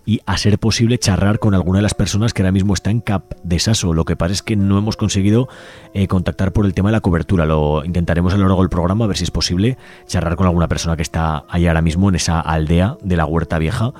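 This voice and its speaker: Deep, mellow Spanish radio host. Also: male voice, steady tone. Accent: Peninsular Spanish. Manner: unhurried, halting, repeats and reworks phrases. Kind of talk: unscripted radio talk segment. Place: radio studio. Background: soft music bed.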